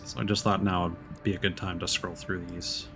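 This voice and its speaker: A man's voice: deep voice, little pitch movement, normal speed, over music. A man doing casual live game-stream commentary, thinking aloud in a rambling way.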